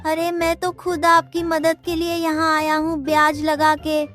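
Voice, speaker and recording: Whiny sneaky cartoon clerk, really high voice, kinda flat delivery, music behind. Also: male voice, a little slow, full character voice. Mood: wheedling. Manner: whiny, wheedling, repeats a catchphrase. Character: scheming, flattering village moneylender's clerk, adult man. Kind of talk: Hindi cartoon voice acting.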